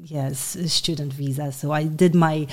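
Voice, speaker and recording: A woman's voice: deep voice, steady tone, a little slow, a little mellow. A woman in her forties from Poland, a native Polish speaker, chatting in an interview and rambling with many fillers and restarts. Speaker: guest. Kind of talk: casual interview chat.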